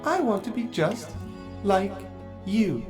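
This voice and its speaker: A woman's voice: mid-range voice, very animated, slow pace, music in the background, voice pushed up. A performer voicing a cunning, imperious vampire queen in a haughty, theatrical story reading. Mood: gloating, sinister.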